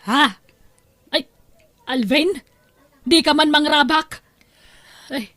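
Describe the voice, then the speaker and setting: A scheming mother in an Ilocano radio drama. Her voice is high and expressive, full of exclamations, and recorded dry and close. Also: slow pace, dry recording. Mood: shocked, dismayed. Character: scheming mother of a grown son, cheerful then scandalized.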